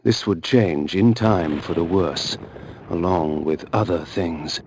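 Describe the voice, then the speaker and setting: A man's voice: low voice, slow, darkish tone, a little hiss or hum. An actor playing a brooding, vengeful vampire nobleman, narrating a game in a theatrical, grave and ornate manner. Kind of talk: dramatic scripted game narration.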